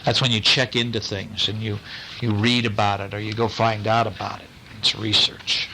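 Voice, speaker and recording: A man's voice: on the low side, normal speed, a little hiss or hum, poor audio. A man reading a bedtime story aloud expressively, stopping to chat with and question a young listener. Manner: animated questioning, explains words to listener.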